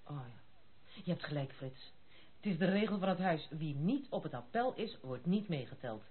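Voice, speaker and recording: A woman's voice: sits low, lively intonation, moderate pace, slightly rough. An actress playing a brusque, headstrong young noblewoman in a Dutch radio play, commanding and teasing in military turns of phrase. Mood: brisk, commanding. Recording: tinny phone-like old radio play recording.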